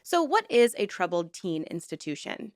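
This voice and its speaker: A woman's voice: medium pitch, sing-song, moderate pace, no echo, studio quality. A woman hosting a true-crime commentary vlog, stuffed up and nasal from a cold, talking in an earnest, conversational way. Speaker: YouTube host.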